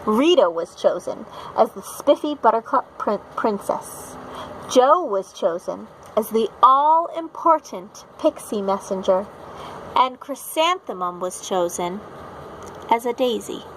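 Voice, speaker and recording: Slow, high-voiced woman reading a storybook. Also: lively intonation, slight background noise, poor audio. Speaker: teacher reading aloud. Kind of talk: storybook narration.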